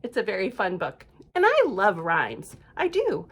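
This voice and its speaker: A woman's voice: medium pitch, wildly swooping pitch, measured pace, slightly rough. A woman reading a picture book aloud at storytime, adding chatty asides and asking her young listeners questions. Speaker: library storytime reader.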